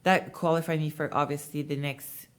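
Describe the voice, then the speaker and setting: A woman speaks in a low register. As an interview guest she tells her story in an easy, chatty way, full of fillers. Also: fairly even intonation, normal speed. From Montreal, Canada. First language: French. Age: 20s. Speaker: interview guest, bikini competitor. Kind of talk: casual interview talk.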